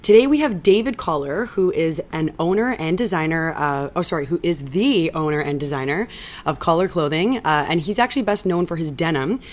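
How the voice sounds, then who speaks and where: A woman's voice: sits low, expressive, brisk. A young woman hosting a casual podcast interview, friendly, often tripping over her words and laughing it off. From Canada. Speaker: podcast host.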